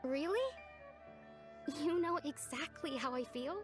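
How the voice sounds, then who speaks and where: A woman playing an earnest, wistful young village woman in a video game, with a soft, emotive delivery. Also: high-pitched, measured pace. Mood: hesitant, lonely. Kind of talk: scripted video game dialogue. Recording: soft music behind.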